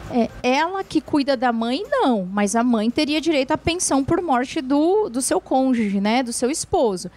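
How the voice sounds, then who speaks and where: A woman's voice: sits high, expressive, normal speed, a little mellow, slight background noise. A woman lawyer answering viewer questions on a TV show, explaining patiently with frequent tag questions and restarts. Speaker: lawyer, guest expert.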